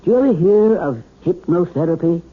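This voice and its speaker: Folksy old-radio family doctor, raspy and swoopy, reassuring, thin tinny sound. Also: male voice, sits high, animated, a little fast. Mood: explanatory, gentle. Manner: tentative, reassuring, plain-spoken. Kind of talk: 1970s radio drama acting.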